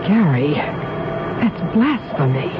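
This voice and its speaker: A woman's voice: sits low, sing-song, slow pace, music in the background, full character voice. An actress playing an anxious, devoted woman doctor in an old-time radio drama, worried and questioning. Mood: alarmed, doubtful. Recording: noisy, muffled old radio broadcast.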